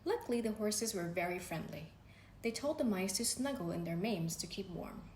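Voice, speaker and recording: Woman narrator, lively pitch, bedtime storybook read in an echoey room with faint noise. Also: moderate pace. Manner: gentle, expressive reading with voices for characters. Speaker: storybook reader.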